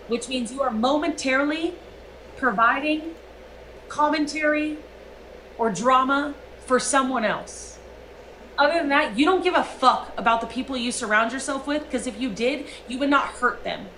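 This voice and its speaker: A high-pitched, sharp-toned woman rants into her stream, swearing and hammering every point. Her voice rings in an echoey room, with a faint hiss under it. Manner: profane, emphatic ranting. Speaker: livestreamer. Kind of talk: heated livestream rant.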